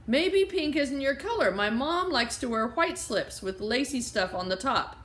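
An earnest, innocent young girl from a children's storybook, voiced by a woman reading aloud. She speaks a little high, with a plain, trusting manner. The sound carries an echoey room around it. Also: lively intonation, unhurried, fairly bright tone. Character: literal-minded cheerful young girl.

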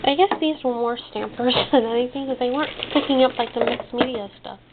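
A woman giving a casual, halting show-and-tell of her craft haul, trailing off and rephrasing as she goes. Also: a little high. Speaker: hobby crafter showing purchases. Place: indoors at a desk. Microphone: handheld camera's built-in microphone. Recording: muffled phone-like sound, clicky background noise.

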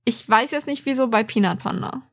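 A woman's voice: on the high side, a little fast. A woman co-hosting a podcast, chatting informally and bluntly. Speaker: podcast co-host. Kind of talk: casual chat.

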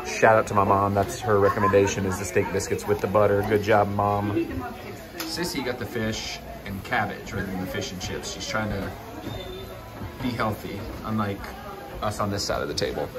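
A man's voice: fairly low pitch, fairly even intonation, moderate pace, over music. A man in his thirties chatting casually to a handheld phone as a vlogger, in a jokey, teasing way. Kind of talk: casual vlog chat. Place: small pub booth. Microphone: handheld phone camera. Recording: busy restaurant chatter behind.

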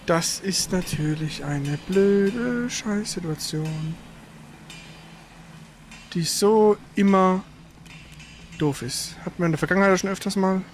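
Grumbling livestream host with background noise. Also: mid-range voice. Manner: grumbling, exasperated, halting. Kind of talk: casual livestream chat during technical trouble.

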